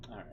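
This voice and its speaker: A man streaming a game and giving casual, informal, sweary reaction commentary. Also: medium pitch.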